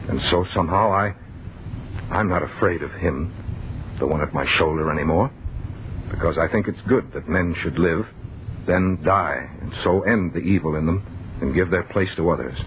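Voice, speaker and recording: A man's voice: sits low, normal speed, lightly acted. An actor playing a horrified man who tells of being flung into a deathless future, intense first-person acting in a noisy, muffled old-time radio horror play. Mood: subdued reflection. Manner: dramatic, intense.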